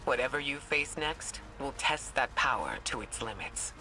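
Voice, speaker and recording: An actor playing a cautious, firm military leader in a video game, measured, serious and watchful. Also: male voice, medium pitch, animated, music in the background, lightly acted, poor audio. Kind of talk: scripted video game voice acting.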